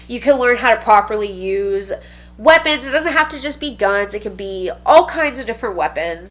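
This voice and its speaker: A woman's voice: medium pitch, bright, forceful tone. A woman vlogger with a stuffy, congested nose, talking to camera in a casual, blunt and profane way, full of slang. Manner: slangy, says "bro" and "dude".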